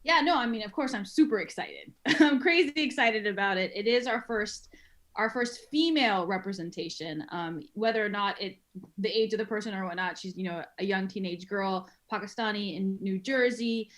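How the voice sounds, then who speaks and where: A young adult woman, a filmmaker guest, talking enthusiastically and quickly in a casual podcast interview. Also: medium pitch, a bit bright. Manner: enthusiastic, fast, with laughs.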